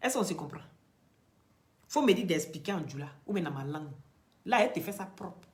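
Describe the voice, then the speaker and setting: A woman's voice: on the low side, animated, fairly quick. Emphatic Ivorian woman lecturing. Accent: Ivorian French. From Ivory Coast. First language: Dioula or another Ivorian language. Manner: emphatic and preachy, loud rising peaks that drop to quiet near-whispered asides. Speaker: social media commentator. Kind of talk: impassioned direct-to-camera commentary.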